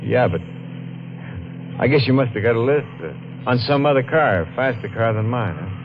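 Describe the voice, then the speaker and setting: An American actor playing a haunted, nervous killer at the wheel in a 1950s radio thriller, with halting, muttering speech. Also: male voice, medium pitch, expressive, close to an everyday voice. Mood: nervous, afraid. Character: haunted, nervous, guilt-ridden male driver turned killer. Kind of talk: 1950s radio thriller acting, part narration. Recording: noisy, tinny 1950s radio recording.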